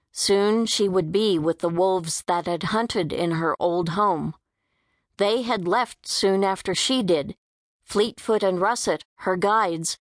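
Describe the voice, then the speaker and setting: Female audiobook narrator, lower voice, slow measured delivery, very dry sound. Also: no echo. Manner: measured, careful reading. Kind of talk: scripted storybook narration.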